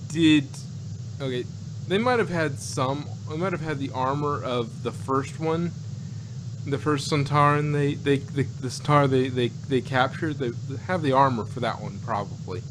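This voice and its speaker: A man in casual, rambling conversation on a noisy home recording with a hum behind him. He talks haltingly, often doubling back on his words, at an average pitch. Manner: rambling, halting, repeats and restarts phrases. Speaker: podcast co-host. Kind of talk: casual chat, reviewing sci-fi.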